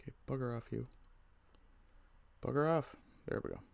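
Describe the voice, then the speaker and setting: A man's voice: mid-range voice, wildly swooping pitch, normal speed, vocal fry. A man hosting a casual livestream, bluntly commenting on news and reading chat, often swearing as he rants. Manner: blunt, profane, ranting.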